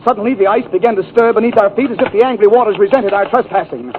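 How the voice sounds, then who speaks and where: A man's voice: sits high, animated, very fast, noisy background. A man doing a hammy, mock-romantic comedy monologue on a 1940s radio show. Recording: tinny 1940s radio broadcast with band and audience. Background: orchestra music and studio audience.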